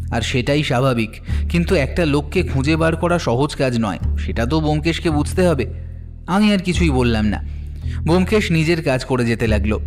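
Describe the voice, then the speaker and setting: A man narrating a detective story in the first person, in a scripted audio drama style. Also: on the high side. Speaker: narrator, telling it as the detective's companion. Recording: long reverb, faint music underneath.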